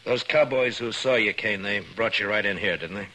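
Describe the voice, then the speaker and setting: Stern, wry frontier lawman, low-pitched, terse, 1950s radio western with faint background noise. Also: moderate pace, bright, forceful tone. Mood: probing. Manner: terse, probing questioning. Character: male frontier marshal, dogged, wry, stern about the law. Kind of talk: 1950s old-time western radio drama acting. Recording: noisy 1950s radio recording.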